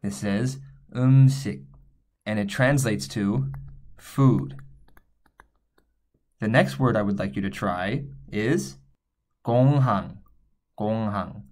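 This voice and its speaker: A man's voice: lowish voice, unhurried, pauses often, a little mellow. A man teaching a recorded language lesson, explaining patiently and thinking aloud with small fillers as he writes.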